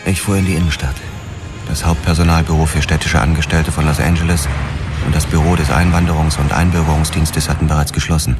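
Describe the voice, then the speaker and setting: An actor playing a haunted, hard-boiled police detective, narrating a noir radio drama in measured, clipped phrases. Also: male voice, a bass voice, steady tone, music in the background. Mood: tense, methodical. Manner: measured, clipped, grim storytelling. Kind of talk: scripted first-person noir crime narration, radio drama.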